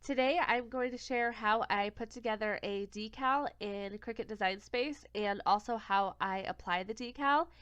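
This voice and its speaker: A woman's voice: on the high side, normal speed, hardly any pauses, fairly bright tone, clean tone, no echo. Congested young woman walking through a tutorial. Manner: step-by-step, self-correcting, plain and friendly. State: congested from a cold. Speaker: craft tutorial host. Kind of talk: screen-recorded software tutorial. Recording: dull, lo-fi home recording.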